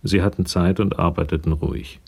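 A man's voice: low voice, steady tone, a little fast, gravelly, darkish tone, breathy edge, full character voice. A man narrating a police crime radio drama from a script. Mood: measured.

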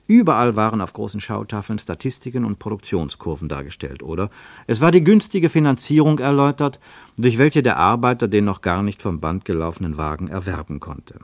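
A man narrating a literary radio drama in a measured, storytelling way. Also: on the low side, expressive, dry recording.

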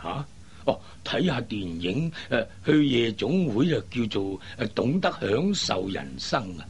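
A man's voice: mid-range voice, sing-song, unhurried, breathy edge, full character voice. A man playing a worried, old-fashioned middle-aged father in a Cantonese radio drama, fretful and hesitant. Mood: disapproving. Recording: faint background noise.

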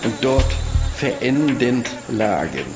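A man's voice: mid-range voice, very animated, slow. A man giving a scripted literary reading of a historical report for radio. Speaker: reader of historical expedition reports. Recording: music bed behind the reading. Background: music.

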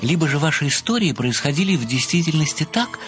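A man's voice: fairly high pitch, sing-song. Formal, obsequious male amateur sleuth, over music. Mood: methodical, earnest. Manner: formal, deliberate, flowery deference. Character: obsequious, methodical amateur male sleuth, adoring a famous writer. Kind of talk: audio play acting.

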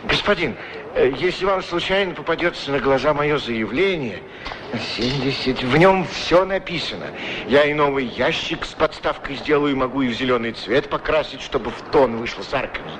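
A man's voice: mid-range voice, expressive, moderate pace, close to an everyday voice. A man acting a humble, chatty, deferential street shoeshine man in a 1960s radio play. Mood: deferential, pleading. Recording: noisy old radio drama recording.

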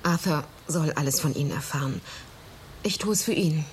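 An actress playing a languid, ailing young woman in a radio horror drama, faint and halting. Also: sits low, slight background noise, natural voice. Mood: reluctant, troubled. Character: weary, ailing young woman, evasive and dreamy.

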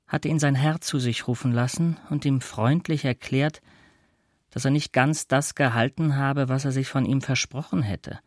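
A man's voice: medium pitch, steady tone, normal speed, dry recording. Male literary narrator, close dry sound. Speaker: narrator of a literary radio play.

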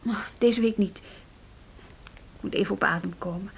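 A husky, determined woman, embattled. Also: mid-range voice, unhurried, exaggerated voice. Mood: defensive, weary. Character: Hanneke, embattled, determined woman at a music school. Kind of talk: Dutch radio drama acting.

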